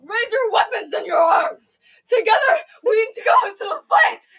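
A woman playing an earnest, defiant radio co-host who declaims deliberately in a comic audio drama. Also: very high voice, big pitch swings, a bit bright, exaggerated voice. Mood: rousing. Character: solemn, defiant rebel radio co-host named Frank.

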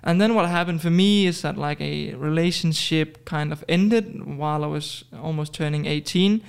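Young Danish-born man with gravelly voice. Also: on the high side, normal speed. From Denmark. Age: 20s. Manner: hesitant, many fillers and restarts. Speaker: podcast guest. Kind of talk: casual interview chat.

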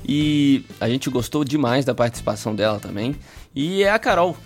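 A man's voice: mid-range voice, expressive, normal speed, faint music behind. A man with a Brazilian Portuguese accent hosting a casual podcast chat, playful and chatty, laughing often. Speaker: podcast host.

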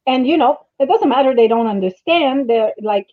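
A middle-aged woman chatting casually in an animated, storytelling way, with thin phone-like audio. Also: high voice, moderate pace, no room sound. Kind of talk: casual chat.

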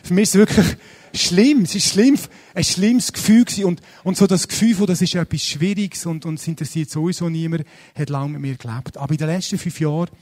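Chatty Swiss German pastor, fairly high voice. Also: male voice, fairly quick. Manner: lively, chatty and self-deprecating, with frequent fillers. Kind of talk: sermon. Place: church service.

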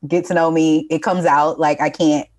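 Southern woman guesting on a podcast, lowish voice, chatty and expressive. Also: moderate pace. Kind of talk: casual chat.

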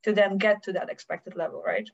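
A woman's voice: mid-range voice, fairly even intonation, brisk, vocal fry. A woman guest on a podcast explaining technical things conversationally, often checking in with the listener. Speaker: podcast guest, OT security specialist. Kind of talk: casual explanatory chat. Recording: dull lo-fi audio.

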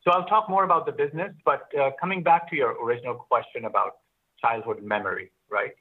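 A middle-aged man from Pakistan who grew up in Oman, telling his story as an interview guest in a reflective, halting way. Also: medium pitch, steady tone, husky, phone-quality sound. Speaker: interview guest, business founder. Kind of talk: conversational personal storytelling.

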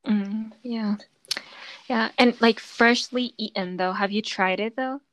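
Woman podcast host, higher voice, dry close sound, easygoing and talkative. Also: takes it slowly, fairly bright tone, dry recording, poor audio. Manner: chatty, explaining with many fillers. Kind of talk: casual chat.

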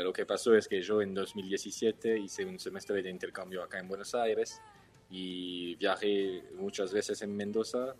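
A young Swiss man, a wine entrepreneur, answering interview questions in a careful, halting way as he searches for words in a language that is not his first. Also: low-pitched. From Switzerland.

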